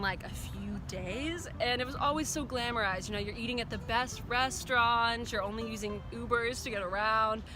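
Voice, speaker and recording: A woman giving frank, lively interview answers outdoors on a city street. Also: a little high. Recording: street crowd noise behind.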